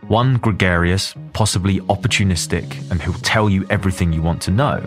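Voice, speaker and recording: A man with a southern English accent, narrating a documentary podcast and interviewing a guest in a measured, friendly way. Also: low-pitched, over music.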